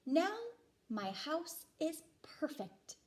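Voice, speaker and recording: A woman voicing a polite, admiring hermit crab in a children's picture book, with drawn-out, courteous exclamations. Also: high voice, sing-song, slight background noise, voice pushed up, exaggerated voice. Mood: cheerful.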